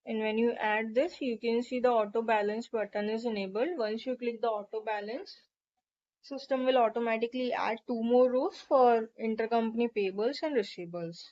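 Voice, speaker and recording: A woman narrating a step-by-step software tutorial in an instructional, explanatory way. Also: a little high, normal speed. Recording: dull, lo-fi microphone sound.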